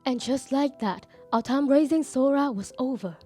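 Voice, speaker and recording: A woman voicing a gentle, wistful grandmother in her sixties, narrating a manga dub. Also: high voice, normal speed. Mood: calm, wistful. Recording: background music under the voice.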